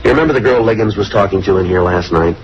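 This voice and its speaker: An actor playing a businesslike American police detective and narrator in a 1950s radio procedural, clipped, terse and deadpan. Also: male voice, on the low side, racing, slight background noise, close to an everyday voice. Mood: pressing. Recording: dull old radio recording with music.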